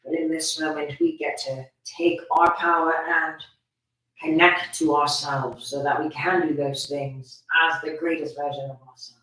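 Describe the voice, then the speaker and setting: A meditation teacher guiding listeners gently and soothingly, with drawn-out phrases and pauses. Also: mid-range voice, flat delivery. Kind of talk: guided meditation instruction. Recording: very echoey room, distant from mic.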